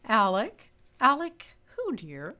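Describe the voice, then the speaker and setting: A wealthy busybody in a radio drama, catty and gushing. She speaks in a fairly high, hugely animated voice, drawing her words out slowly and archly. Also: heavily acted. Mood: prying, doubtful. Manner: arch, gushing, drawn-out. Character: rich, nosy society lady, gushing and catty. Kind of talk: radio play acting.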